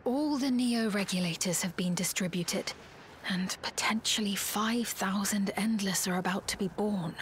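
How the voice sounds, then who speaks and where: A woman's voice: medium pitch, normal speed, slight background noise, natural voice. An actress playing a composed, serious woman ally in a video game cutscene. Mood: grave, hushed.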